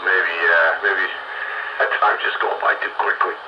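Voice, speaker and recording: Older male radio hobbyist, low-pitched, casual chat, buried in loud static. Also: little pitch movement, a little slow. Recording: muffled, very noisy two-way radio transmission.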